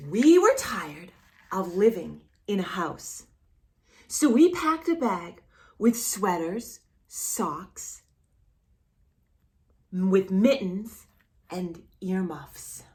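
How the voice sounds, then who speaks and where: A woman presents storytime for young children. Her medium-pitched voice moves through wide, expressive rises and falls. She takes her time, speaking slowly and clearly to the young listener as a read-aloud host would. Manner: animated, addresses the young listener with questions.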